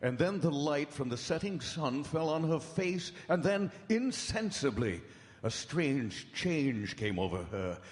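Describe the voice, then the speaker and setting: An actor playing a grave, anguished doctor who reads his private journal in solemn, measured radio horror style. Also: on the high side, big pitch swings. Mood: grave, horrified. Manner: solemn, dramatic, measured storytelling. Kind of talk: old-time radio horror drama acting. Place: large hall stage before live audience. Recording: echoey hall, live stage broadcast.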